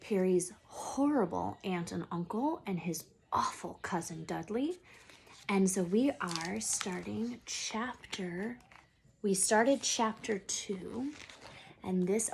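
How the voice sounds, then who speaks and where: A woman's voice: mid-range voice, creaky, slight background noise. A woman reading a children's story aloud expressively, as a teacher would.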